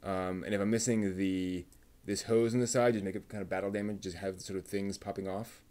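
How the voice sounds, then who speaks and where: A man's voice: deep voice, flat delivery, brisk, darkish tone. A man building a model kit on a live stream, muttering to himself and chatting casually with viewers as he works.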